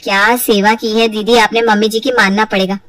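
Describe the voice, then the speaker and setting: A woman's voice: medium pitch, level tone, brisk, a bit bright, no room sound, far from the mic, pitched below the performer's own voice, natural voice. A woman voicing a shrewd young daughter-in-law in a cartoon moral story, speaking politely but pointedly. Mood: sweetly pointed. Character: shrewd young daughter-in-law, sweetly sly, weary of taunts.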